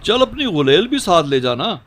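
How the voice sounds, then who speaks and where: Hearty rural uncle, high bright voice, radio drama, animated, faint music. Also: male voice, normal speed. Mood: hearty, coaxing. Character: hearty village uncle taking his nephew along.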